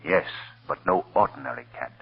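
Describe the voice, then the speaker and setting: A very deep, mysterious unseen crime fighter. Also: male voice, animated, fairly quick, creaky, husky, exaggerated voice. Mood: commanding, urgent. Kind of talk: 1930s radio drama acting. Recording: muffled old radio recording.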